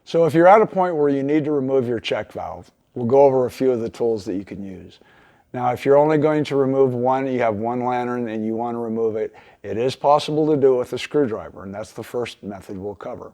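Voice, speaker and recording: A man's voice: medium pitch, a little fast, dark tone. Plainspoken man giving repair instructions. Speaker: instructor, repair video host. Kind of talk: hands-on tutorial explanation.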